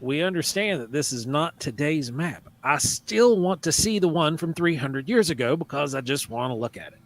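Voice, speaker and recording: A man's voice: on the high side, moderate pace. A man hosting a live paranormal talk show, chatting casually and animatedly, sometimes mocking critics. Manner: animated, mocking, trails off and restarts. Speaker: podcast host. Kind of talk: casual live radio chat.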